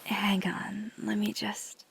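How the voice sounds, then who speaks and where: A woman playing a flirty, tender vampire in an intimate ASMR roleplay, speaking softly and gently, mostly in a breathy whisper. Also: medium pitch, monotone, slight background noise, poor audio. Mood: playful, flirty. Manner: slow, gentle, hesitant, teasing.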